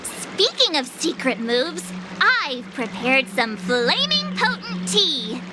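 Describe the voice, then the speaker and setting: Small girl, sweet, very high, lilting, unhurried, game cutscene. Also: big pitch swings, over loud music, exaggerated voice, poor audio. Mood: musing. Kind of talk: video game cutscene acting.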